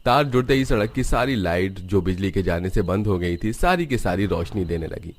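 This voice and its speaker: Low-voiced male storyteller. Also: lively intonation, moderate pace. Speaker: story narrator. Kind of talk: scripted storybook narration for a Hindi cartoon.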